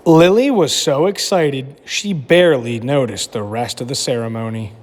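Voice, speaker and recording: A man teacher reading a picture book aloud to children with animated storytelling, then asking them questions about it. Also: medium pitch, moderate pace. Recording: echoey room with long reverb.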